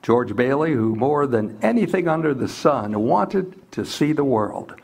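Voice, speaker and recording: A man's voice: medium pitch, very animated, a little slow, gravelly, darkish tone, breathy edge. A man announcing and narrating in formal, stately 1940s radio style.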